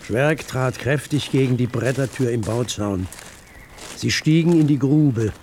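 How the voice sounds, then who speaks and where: An actor playing a literary chronicler, narrating a radio drama with measured, articulate and deliberate phrasing. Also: male voice, mid-range voice, darkish tone, a little hiss or hum, close to an everyday voice. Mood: grave, measured.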